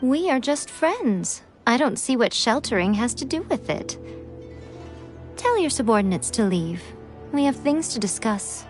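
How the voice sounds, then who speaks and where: A woman playing a scheming, poised shrine priestess in a video game, measured and articulate with a condescendingly playful edge. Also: a little high, big pitch swings. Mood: teasing. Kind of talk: dramatic game character acting. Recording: music behind the voice.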